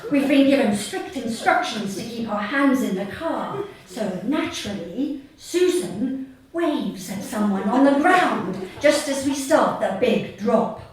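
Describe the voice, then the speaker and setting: A woman reading her comic short story live to an audience, in a deadpan, measured way with deliberate pauses. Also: a little high, a crowd in the background. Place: theatre stage at a live reading event. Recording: echoey hall, distant mic, audience noise. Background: audience laughter.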